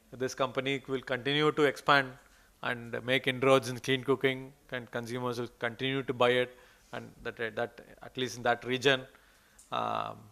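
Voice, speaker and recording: A man's voice: medium pitch, vocal fry, a bit bright. A man with an Indian English accent presenting research slides at a conference, hesitant and full of fillers. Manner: hesitant, many fillers and restarts. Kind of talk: presenting research slides, then answering questions. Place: conference hall.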